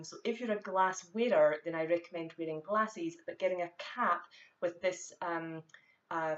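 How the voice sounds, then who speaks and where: A woman with a Scottish accent, the founder of a fitness company, giving a chatty, direct vlog talk full of fillers. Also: on the low side. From Scotland. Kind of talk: casual vlog monologue. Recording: echoey, dull lo-fi room sound.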